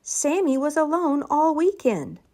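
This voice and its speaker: A woman's voice: high voice, sing-song, a little slow, darkish tone, pitched above the performer's own voice, heavily acted. A woman voicing an earnest, caring young schoolchild with a simple way of speaking in a children's storybook.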